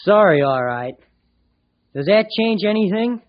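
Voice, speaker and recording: A man's voice: high voice, lively intonation, a little slow. An actor playing a firm but forgiving boy gang leader in an old-time radio drama, with blunt, slangy talk. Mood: stern, rejecting. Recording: dull vintage radio recording.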